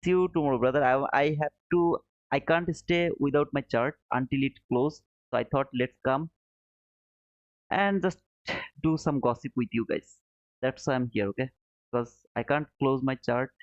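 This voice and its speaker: A man's voice: moderate pace, pauses often, dry recording. A man from Bangladesh, hosting a casual trading livestream, reading viewer chat and calling viewers brother. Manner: friendly and chatty, calls viewers brother, says boom. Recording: dull, slightly muffled, dry.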